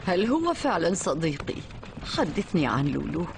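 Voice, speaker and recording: A woman's voice: fairly low pitch, sing-song, normal speed. A woman voicing a dignified old duchess, formal and gracious, in an Arabic cartoon dub on a noisy old soundtrack. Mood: gracious, earnest.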